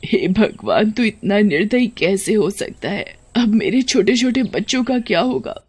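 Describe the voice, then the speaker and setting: A woman's voice: mid-range voice, lively intonation, unhurried, a little mellow, breathy edge. A woman voicing a struggling young mother who speaks anxiously and pleadingly.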